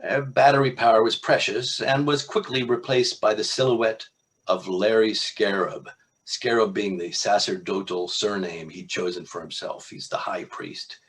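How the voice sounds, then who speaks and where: A man, an author, reading from his own novel in a measured literary style with informal asides. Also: on the low side.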